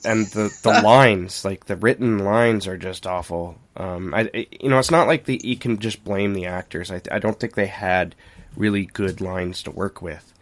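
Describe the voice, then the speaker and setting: Man, lowish pitch, podcast co-host, casual review chat, candid, informal wording. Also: normal speed. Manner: informal, candid, mildly profane.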